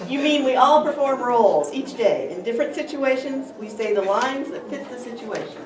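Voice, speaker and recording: A woman's voice: fairly high pitch, expressive, normal speed. Female presenter in her sixties reading to a classroom, echoey sound from a distant microphone. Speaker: workshop presenter. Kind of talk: scripted reading aloud to a class. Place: large classroom, indoors. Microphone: camera a few meters away. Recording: echoey room, far from mic, noisy.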